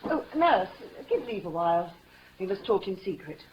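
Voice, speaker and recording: A woman's voice: medium pitch, very animated, normal speed, close to an everyday voice. Actress as swooping, formal noblewoman. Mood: commanding, secretive. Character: formal, commanding noblewoman, mother of a teenager. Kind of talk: 1950s radio Shakespeare acting. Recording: muffled, noisy old radio recording.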